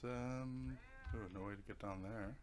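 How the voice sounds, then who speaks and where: A man's voice: fairly low pitch, fairly even intonation, moderate pace. A man giving casual let's play commentary on a video game, explaining tactics step by step in an offhand way.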